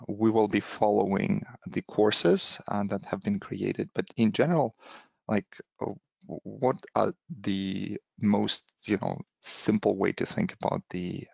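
A man with a very deep, gravelly and husky voice hosts a webinar through a headset. He pauses often and checks in with filler words. The sound is dry and dull, like a call. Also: no echo. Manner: hesitant, lots of fillers and "right?". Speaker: host. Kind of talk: hosting a webinar interview.

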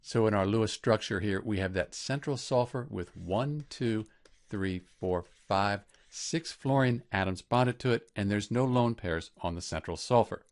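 A man teaching chemistry in scripted educational narration, explaining clearly and step by step. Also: on the low side, unhurried, a little mellow.

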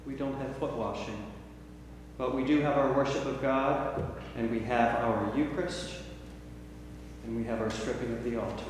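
An older man, a priest, welcoming the congregation and reciting liturgical prayers in a measured, solemn way, recorded in a large church from a distant camera. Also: mid-range voice, background noise. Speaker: priest leading worship. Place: large church sanctuary, indoors. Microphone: far camera. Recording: echoey church from far off.

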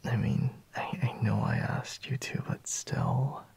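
Casting: male actor for drowsy, half-reluctant boyfriend in ASMR roleplay, very breathy whisper, halting delivery. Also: fairly low pitch, wildly swooping pitch, a little mellow, exaggerated voice. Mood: hushed, flustered. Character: sleepy, intimate boyfriend, adult man, half-reluctant.

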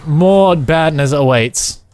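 A man giving casual co-op gaming commentary, thinking aloud in short, halting phrases. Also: on the high side, darkish tone, no room sound. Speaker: gaming video host, player.

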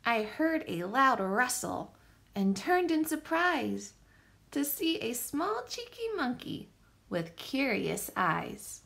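Animated, high-voiced woman reading to children. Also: vocal fry. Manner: animated, deliberate phrasing for young children. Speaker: teacher reading a picture book aloud. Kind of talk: expressive children's story read-aloud.

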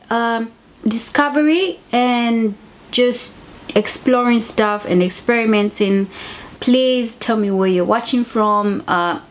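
A young woman from Zambia, talking to camera in a casual vlog, chatty and often correcting herself mid-sentence. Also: mid-range voice. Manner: chatty, self-correcting, conversational. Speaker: YouTuber. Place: home room with bookshelves. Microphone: camera at desk distance.

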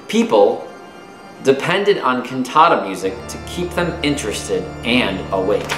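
A man in his thirties reads a children's nonfiction book aloud like a teacher, phrasing it expressively and clearly for young listeners. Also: mid-range voice, a bit bright. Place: small room at home, indoors. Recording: roomy echo with music behind. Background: music.

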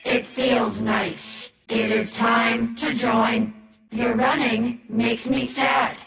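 A woman voicing an eerily calm, coaxing female entity in a video game, ominously soothing, with an echoing effect on the voice. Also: fairly high pitch, extremely slow, vocal fry, heavily acted. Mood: coldly coaxing. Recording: heavy echo over music.

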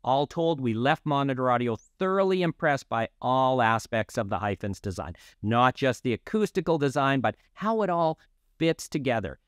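Guy in his 50s hosting, normal mid pitch, really dry sound, presenter talk. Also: lively intonation, moderate pace, smooth, no echo. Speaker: host and interviewer. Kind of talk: presenting to camera and interviewing.

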